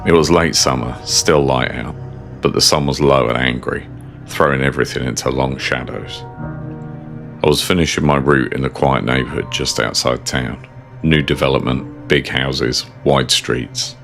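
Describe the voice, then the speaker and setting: A British man with an exceptionally low, gravelly voice narrates a horror story in the first person. His delivery is measured, understated and ominous. Music plays clearly behind him. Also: fairly even intonation, fairly bright tone. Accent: British English.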